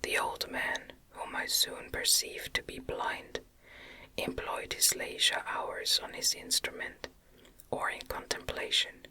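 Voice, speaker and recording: A woman narrates classic gothic fiction in a breathy ASMR whisper, with no voiced tone at all. Her reading is gentle, measured and very slow. The whisper is extremely bright and crisp, spoken close to the mic. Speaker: audiobook narrator.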